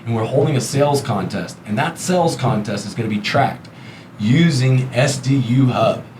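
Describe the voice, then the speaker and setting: A man's voice: medium pitch, moderate pace, darkish tone, echoey room. A man from Texas with an American accent, talking casually as the host of a business podcast. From Texas, United States. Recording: clear background noise, room ambience.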